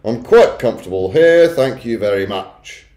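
Man acting out coarse big middle-aged man, storybook bully, brusque, indignant, booming swoops, echoey room. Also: expressive, moderate pace, natural voice. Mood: gruff, defiant. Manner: shouting, brusque, dismissive. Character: large coarse blustering middle-aged father, indignant and pushy. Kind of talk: children's storybook character voice.

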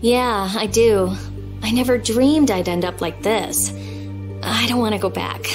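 A woman's voice: a little high, faint music behind, lightly acted. A woman voicing a prickly but secretly affectionate teenage girl researcher in a video game, blunt and awkward. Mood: guarded, sincere. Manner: blunt, halting when embarrassed. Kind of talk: video game cutscene acting.

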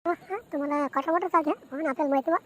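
A woman's voice: sits high, very fast, natural voice. A woman voicing a chatty, boastful village man in an amateur cartoon comedy, emphatic and repetitive, with a rural Maharashtrian accent. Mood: chatty, animated. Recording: noticeable background noise.

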